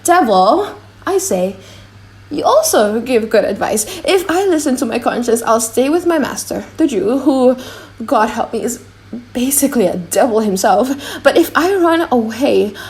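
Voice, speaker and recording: A woman voicing a chatty male clown servant in a comic audiobook monologue, animated, rambling and correcting himself. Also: a little high, normal speed. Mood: comic, scheming.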